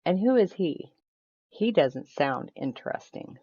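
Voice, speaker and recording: A woman's voice: lowish voice, big pitch swings, unhurried, mellow, husky, exaggerated voice. A woman voicing an inquisitive, blunt storybook lady with an exclaiming, pointed way of asking. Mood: curious, dismissive. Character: inquisitive, blunt lady friend hearing a tale. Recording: roomy echo, slightly dull sound.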